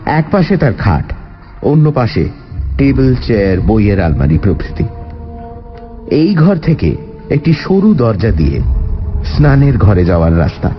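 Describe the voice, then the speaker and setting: A man narrating a detective story as the sleuth's observant companion, in an expressive scripted style. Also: fairly low pitch, measured pace, pauses often, lo-fi sound, soft background music. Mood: descriptive, suspenseful.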